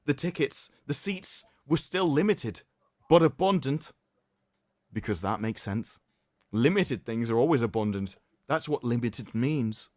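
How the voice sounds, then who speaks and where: A man's voice: medium pitch, animated, unhurried, darkish tone, dry recording, studio quality. A man hosting a livestream, doing a mock-dramatic reading with commentary in a theatrical, sarcastic, mock-solemn manner. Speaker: livestream host.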